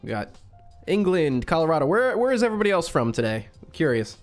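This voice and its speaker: A young man from Western New York hosting a casual live stream, a little raspy and congested from a cold, chatty and reading out the chat. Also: fairly high pitch, very animated, fast talker, faint music behind. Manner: chatty, reads out chat with friendly asides.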